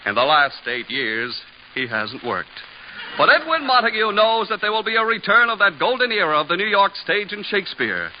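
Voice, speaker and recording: An American man narrating an old-time radio comedy as announcer, scripted, deliberate and mock-solemn. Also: high voice, very animated, slight background noise. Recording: dull, lo-fi old radio broadcast.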